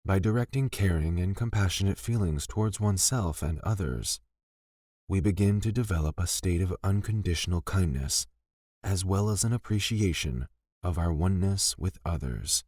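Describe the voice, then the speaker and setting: Deep-voiced man guiding a meditation. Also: no echo, clean studio sound. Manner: gentle, soothing, deliberate with pauses between phrases. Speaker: meditation guide. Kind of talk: guided meditation, scripted.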